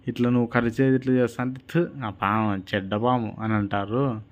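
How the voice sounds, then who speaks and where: A man's voice: medium pitch, fairly even intonation, fairly quick, lightly acted. A man voicing a fearless old swami in a children's story, admonishing plainly and confidently with a Rayalaseema Telugu accent. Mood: stern counsel. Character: fearless old male holy man, sternly advising.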